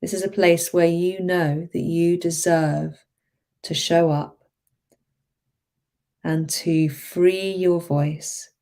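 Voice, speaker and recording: A woman speaking as a hypnotherapist, leading a guided relaxation in a soothing, encouraging manner with drawn-out phrases. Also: on the low side, flat delivery, mellow. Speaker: hypnotherapist guiding a relaxation session.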